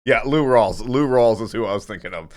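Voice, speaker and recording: A man with a deep baritone voice reacting casually to a song, laughing often and rambling with fillers and restarts. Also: fairly quick, no room sound, clean studio sound. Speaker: music reaction host.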